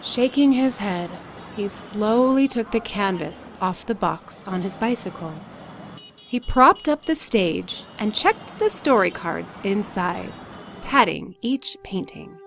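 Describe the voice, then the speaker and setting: Expressive woman reading a children's story. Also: medium pitch, slow pace, a little hiss or hum. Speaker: read-aloud teacher.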